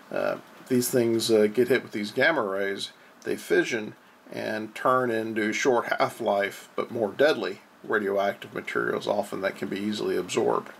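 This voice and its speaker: Gravelly man with halting delivery, noisy recording. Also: lowish voice, a bit bright. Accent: American. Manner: halting, hesitant delivery with frequent drawn-out uhs. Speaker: blog host. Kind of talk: informal explanatory commentary over on-screen reports.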